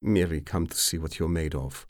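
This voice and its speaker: A man voicing a stern, weathered female crew leader in an audiobook, cool and unimpressed, with smooth menace. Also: rumbling low, brisk, a little mellow, no room sound, studio quality.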